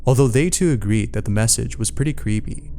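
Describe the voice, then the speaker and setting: A man's voice: mid-range voice, fairly quick, dark tone, a little hiss or hum. A man narrating scary mystery stories from a script, as the narrator of a video. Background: music.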